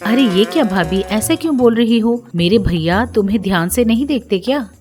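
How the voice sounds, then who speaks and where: A determined young sister-in-law, voiced by a woman narrator in a moral storybook tale. She speaks in a high, assertive, questioning way over faint background music. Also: animated, normal speed, darkish tone, close to an everyday voice. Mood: concerned. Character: young student daughter, confident, concerned, determined sister-in-law.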